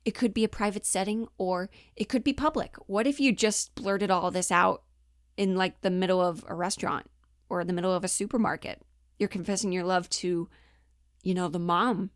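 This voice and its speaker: A woman explains things as an acting coach in a casual lesson style. Her voice sits at an average pitch and she talks informally, thinking aloud. The recording is dry, with hardly any room sound. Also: fairly even intonation, normal speed, no echo.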